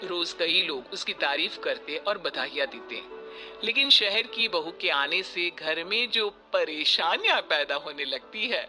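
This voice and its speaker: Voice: medium pitch, animated, a little slow, sharp tone. A narrator reading a scripted moral story in a storytelling style. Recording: music bed behind the voice.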